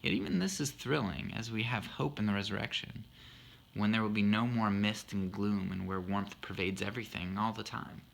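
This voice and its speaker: A man's voice: lowish voice, fairly even intonation, moderate pace, vocal fry. A man in his thirties explaining a poem he wrote for his wife, earnest and thoughtful with a self-deprecating humor. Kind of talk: reflective spoken monologue.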